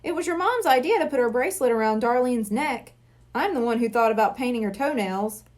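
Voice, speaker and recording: A woman's voice: on the high side, animated, fairly quick, a little mellow, natural voice. A woman reading the part of a friendly, playful middle-aged male hypnotist in a children's storybook, courteous and jovial. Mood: amused.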